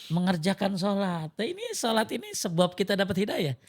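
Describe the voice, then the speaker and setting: A man's voice: high-pitched, sing-song, fairly quick. A man gives informal religious instruction. He is didactic, posing questions, answering them and repeating key phrases for emphasis. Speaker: religious teacher giving advice as a guest. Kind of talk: informal Islamic teaching in a group conversation.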